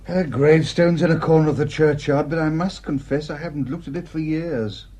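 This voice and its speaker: An actor playing a genial, fussy, absent-minded country rector with an English accent, speaking with hesitant courtesy and old-fashioned diction in a radio drama. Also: male voice, on the high side, fairly even intonation, a little fast, mellow, close to an everyday voice. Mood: flustered recall.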